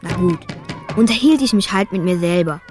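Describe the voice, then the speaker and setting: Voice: mid-range voice, expressive, moderate pace, darkish tone, soft background music, close to an everyday voice. A performer playing a reflective young Afghan boy who tells his own story in simple, childlike radio drama narration.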